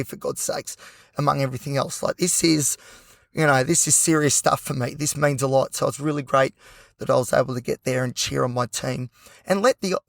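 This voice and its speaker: An Australian man hosting a solo podcast with a hoarse, croaky voice, talking passionately and rambling with lots of fillers. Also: a little high, dry recording, clean studio sound. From Newcastle, New South Wales, Australia. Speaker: podcast host.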